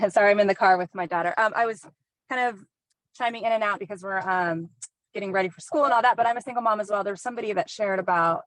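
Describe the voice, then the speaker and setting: A woman's voice: mid-range voice, quick pace, no room sound, poor audio. Woman, earnest, filler-heavy, in a car, dry sound. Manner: earnest, many fillers, clears throat. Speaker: group participant, single mother. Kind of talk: casual sharing in a video call.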